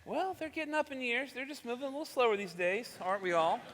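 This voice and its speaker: A man's voice: very high voice, expressive, quick pace, fairly bright tone, slight background noise. A man playing an easygoing, folksy boss of a live radio show in a stage comedy, chatting with hesitant starts. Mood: unworried, reassuring. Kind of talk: theatrical stage comedy acting. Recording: reverberant hall, live stage sound.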